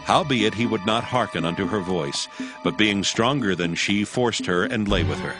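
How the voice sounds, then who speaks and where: A man narrates a dramatized Bible in a fairly low voice. His delivery is measured and solemn. Faint music sits behind the reading. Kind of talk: scripted scripture narration.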